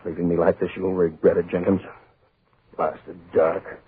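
An actor playing a bullying, bad-tempered middle-aged publisher, barking imperiously in 1940s radio style. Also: male voice, low voice, little pitch movement, normal speed, breathy edge. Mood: fuming. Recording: scratchy, noisy narrowband 1940s radio recording.

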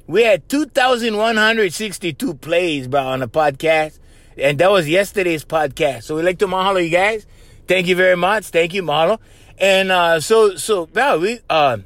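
A man's voice: sits high, a bit bright, dry recording. A man playing a brash Hawaiian ex-con who hosts a podcast, speaking in Hawaiian Pidgin with laid-back slang and a "bro" on every line. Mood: grateful. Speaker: podcast host. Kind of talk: comedic character monologue.

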